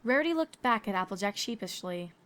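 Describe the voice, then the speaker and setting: A woman's voice: medium pitch, expressive, a little fast, a bit bright. A woman reading scripted third-person narration for a fan fiction story. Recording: background music under the voice.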